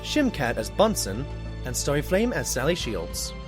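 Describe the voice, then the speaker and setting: A man's voice: medium pitch, wildly swooping pitch, moderate pace, music in the background. A man reading scripted closing credits as an announcer for an audio drama.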